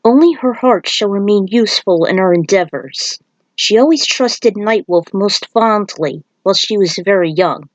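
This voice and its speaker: A woman's voice: medium pitch, normal speed, no echo, close to an everyday voice, rough recording. A woman voicing a solemn male thunder god who speaks in a grave, formal way, as in a storybook. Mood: solemn, instructive. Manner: grave, formal, stately phrasing.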